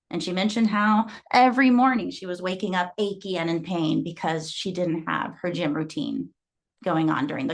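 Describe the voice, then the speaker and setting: Earnest woman from Guatemala coaching fitness. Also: medium pitch. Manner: earnest, storytelling. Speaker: fitness coach and gym owner. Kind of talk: motivational talk.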